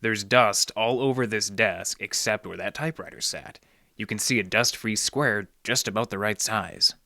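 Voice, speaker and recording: An actor playing a wry, hard-boiled library detective in the style of noir radio drama, with deadpan wisecracks. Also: male voice, fairly low pitch, moderate pace, vocal fry, dry recording, clean studio sound. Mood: probing. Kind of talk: 1940s radio detective acting, narration and dialogue.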